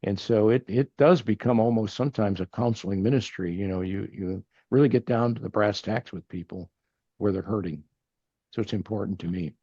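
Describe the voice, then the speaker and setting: A man's voice: lowish voice, a little fast, dark tone, breathy edge, dry recording, muffled recording. An older man from Texas, a guest in a casual interview, talking earnestly and rambling with many restarts. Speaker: podcast guest, family banking partner.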